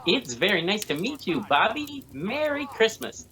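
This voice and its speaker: A woman's voice: mid-range voice, very animated, moderate pace, a bit bright. A woman playing a polite, bashful male magician in a radio play, with a hesitant, apologetic manner. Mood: grateful, friendly.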